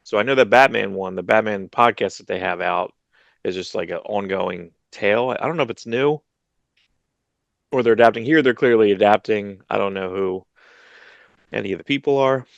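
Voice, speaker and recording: Low gravelly guy chatting comics news, dry close sound, kinda rambly. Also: moderate pace, dry recording, muffled recording. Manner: rambling, many restarts, low-key. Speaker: podcast co-host. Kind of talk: casual chat about comics news. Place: home room, video call.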